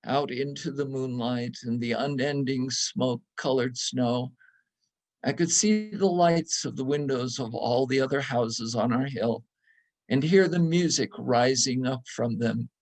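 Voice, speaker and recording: A man reading a literary story aloud for a church service, with measured, deliberate phrasing. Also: mid-range voice, a little mellow. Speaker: readers theater reader at a church service.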